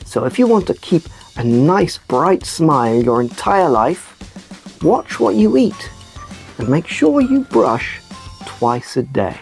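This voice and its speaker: A woman voicing a cheerful, excitable sock puppet who presents a children's news show in a playful, exaggerated way. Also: low voice, takes it slowly, vocal fry, soft background music, exaggerated voice. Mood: explaining. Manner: animated, exaggerated delivery. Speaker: kids' news show host.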